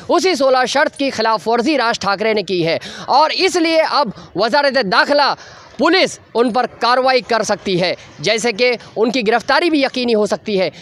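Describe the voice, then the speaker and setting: A man giving a semi-improvised news report, speaking in a halting, repetitive way with filler phrases. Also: extremely high pitch, a bit bright.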